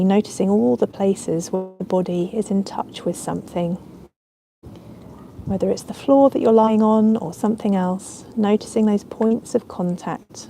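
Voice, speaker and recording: A woman leading a guided relaxation as a yoga nidra teacher, speaking in a soothing, unhurried, gentle way with ambient sound around her. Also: medium pitch, vocal fry, slight background noise.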